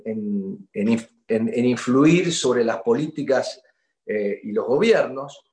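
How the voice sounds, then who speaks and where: A man's voice: mid-range voice, a little mellow. Man interviewing, echoey room. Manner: halting, many fillers and repeated words. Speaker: interviewer host. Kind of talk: hesitant conversational interview.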